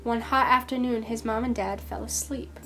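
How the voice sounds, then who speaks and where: A woman reading a children's story expressively, with careful, measured phrasing. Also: a little high, fairly even intonation, bright, forceful tone, faint music behind. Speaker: volunteer storybook reader.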